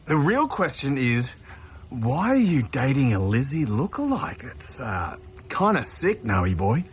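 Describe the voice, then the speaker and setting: A cocky Australian big brother in an audio drama. His medium-pitched voice swings freely as he mocks and needles in matey slang. There is faint noise behind him. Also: sing-song, unhurried. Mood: smug, needling. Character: cocky taunting older brother, a grown man. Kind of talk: naturalistic audio drama acting.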